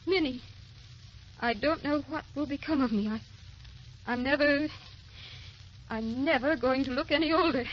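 An actress playing a fearful, loving young wife in an old radio drama, earnest and plaintive. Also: female voice, sits high, normal speed, long pauses, close to an everyday voice. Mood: distressed, confiding. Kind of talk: 1930s-40s radio drama acting. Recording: muffled old radio recording, hiss and crackle.

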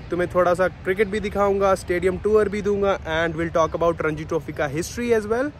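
A man's voice: sits high, background noise. A man hosting his cricket vlog and chatting casually to viewers, switching between Hindi and English. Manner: chatty, relaxed, addresses viewers directly. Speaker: cricket vlogger, channel host.

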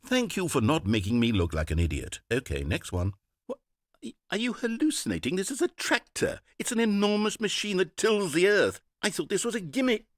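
A man's voice: on the high side, no room sound. A man playing a fussy, theatrical video game narrator, dramatic, exasperated and condescending by turns. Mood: smug. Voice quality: husky, very animated delivery. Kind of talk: scripted video game voice acting. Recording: dry close studio recording.

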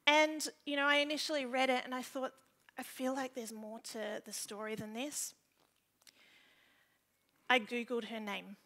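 An Australian woman in her thirties giving an earnest, measured personal talk on a stage through a headset mic. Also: on the high side, a bit bright. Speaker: keynote speaker, photographic digital artist. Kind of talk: prepared stage presentation, personal storytelling. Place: large indoor theatre stage.

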